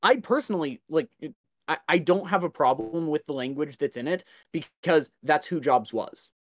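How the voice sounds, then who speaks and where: A man in a casual podcast discussion, talking in a halting way as he works out his thoughts. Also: on the high side, animated.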